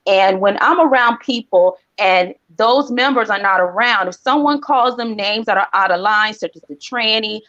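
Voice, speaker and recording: A woman's voice: medium pitch, fairly bright tone. A woman calling into a live discussion who speaks sincerely and appeals for fairness. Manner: sincere, hurt, appealing for solidarity. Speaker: caller on a live stream. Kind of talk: casual discussion. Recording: dull lo-fi call audio.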